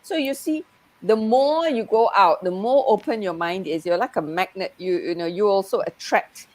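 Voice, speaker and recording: A woman's voice: medium pitch, moderate pace, no echo. Malaysian-raised woman, reflective and chatty. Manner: reflective, with frequent "you know". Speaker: NLP coach. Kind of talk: casual conversation.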